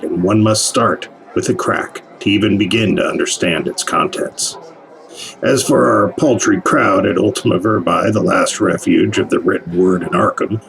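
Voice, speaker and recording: An actor playing a grave, portentous narrator who introduces a radio drama in a solemn, scripted manner. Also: male voice, sits low, gravelly, a bit bright, low-quality recording. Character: grave, cryptic storyteller introducing the tale.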